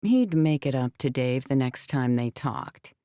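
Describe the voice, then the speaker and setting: A woman's voice: extremely low pitch, animated, unhurried, mellow, no echo, studio quality. A woman narrating scripted fiction as a storyteller in a full-cast audiobook. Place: dry recording studio.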